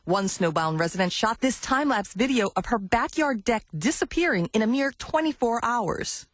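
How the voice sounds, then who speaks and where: A woman's voice: a little high, lively intonation, moderate pace. Woman news reporter over background noise. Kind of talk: scripted report narration.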